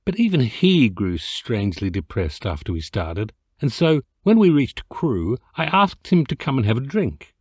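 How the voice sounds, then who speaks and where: Animated male storyteller, theatrical delivery. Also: medium pitch, moderate pace, few pauses, breathy edge, dry recording. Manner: theatrical, expressive, mock-dignified. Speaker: amateur voice actor reading an audiobook excerpt. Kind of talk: comic literary narration, storytelling.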